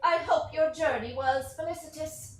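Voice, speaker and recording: A precocious little boy, played by a woman, holds forth in a high voice with formal, bookish grandeur. He sounds pompous and theatrical, as on a stage. The room rings around the words. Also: normal speed, lightly acted. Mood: haughty, formal. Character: precocious, formal orphan boy raised by drones. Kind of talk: theatrical staged play reading. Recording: roomy sound with faint noise.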